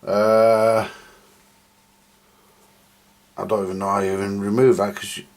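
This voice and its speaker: A man's voice: fairly low pitch, flat delivery, a little slow. A man giving casual, rambling hands-on repair commentary to viewers, thinking aloud as he works. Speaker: host of a radio repair video. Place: at a home workbench. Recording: clear background noise with clicks.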